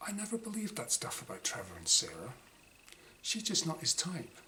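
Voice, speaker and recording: A man reading his own first-person short story dramatically, in clipped diary-like phrases with pauses. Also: on the high side, wildly swooping pitch, pushed and bright. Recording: clearly noisy room ambience.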